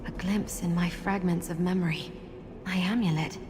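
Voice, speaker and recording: An actress playing an earnest young woman in a video game who seeks her lost memories, speaking in a measured, sincere way. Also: lowish voice, fairly even intonation, noisy background, lightly acted. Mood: curious, searching. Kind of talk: video game character acting.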